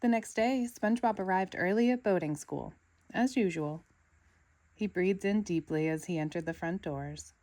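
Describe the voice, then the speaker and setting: A woman narrating a children's picture book aloud with expressive, dramatic storytelling. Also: fairly low pitch, moderate pace, no echo. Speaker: storybook reader.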